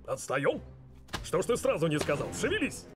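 A man's voice: on the high side, wildly swooping pitch, moderate pace. A man playing a goofy, self-important cartoon turkey in a blunt, comic manner. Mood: urgent comic. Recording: music behind the voice.

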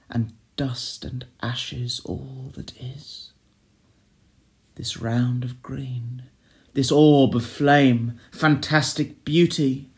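A man reciting classic verse in a solemn, measured and expressive dramatic style. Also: mid-range voice. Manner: solemn, measured, expressive delivery with hushed line endings. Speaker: poetry reader.

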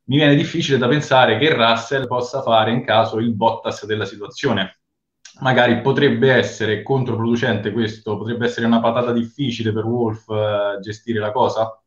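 A man in his forties hosting an interview on a video call, asking questions in a relaxed, conversational way. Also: medium pitch, normal speed, reverberant, lo-fi sound. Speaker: host. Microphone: video call webcam.

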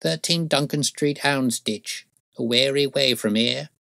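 Garrulous, wheedling old woman of humble stock for an audiobook, raised pitch, rambling folksy delivery, played by a male narrator. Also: measured pace, vocal fry, bright, forceful tone, no room sound, clean studio sound. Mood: guarded. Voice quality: harsh. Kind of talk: audiobook character voice.

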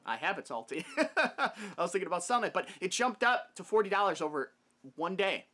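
A man's voice: high-pitched, very animated, fairly quick, pushed and bright. A young man talking casually and emphatically to camera, giving his opinions as a video host in a room at home. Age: young adult. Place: small wood-paneled room at home.

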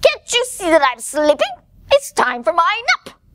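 A tiny baby bird from a children's storybook, voiced by a woman reader. It is chirpy and cheerful, pitched very high. Its sing-song delivery bounces up and down. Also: big pitch swings, normal speed, a bit bright, exaggerated voice. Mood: indignant. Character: tiny cheerful wide-awake baby bird, singing relentlessly.

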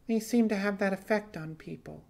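A male reader puts on an earnest young female creature adrift in a space tale. The voice sits well above a man's usual talk. She is excitable and open, in audiobook style. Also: sits high, normal speed, creaky, pitched above the performer's own voice. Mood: musing.

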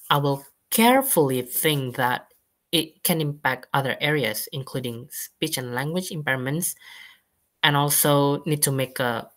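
A young woman presenting a college project slowly and carefully over a video call. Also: extremely low pitch, a bit bright. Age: young adult. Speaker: student presenter.

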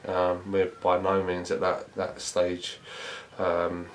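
A man, a football manager giving a measured post-match interview, thinking aloud with many fillers. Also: low-pitched, completely flat delivery, a little hiss or hum. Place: small indoor press room before a sponsor board.

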